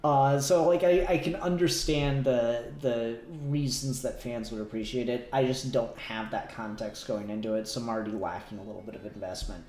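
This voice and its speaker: A man giving a casual review as a podcast co-host, speaking in halting, searching phrases. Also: mid-range voice, steady tone, a little mellow. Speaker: podcast co-host reviewing a fan audio drama. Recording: echoey room sound.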